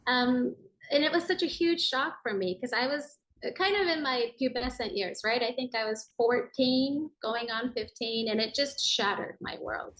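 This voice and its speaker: A woman raised in Japan with an American accent, telling her personal story as a guest in an interview, earnest and reflective with soft hesitations. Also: fairly high pitch, normal speed, poor audio.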